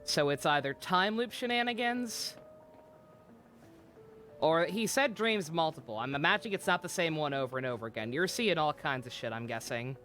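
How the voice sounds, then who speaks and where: A man's voice: on the high side, animated, normal speed, bright, forceful tone, soft background music. A man doing casual live game commentary, rambling and swearing as he thinks aloud. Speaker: video game streamer.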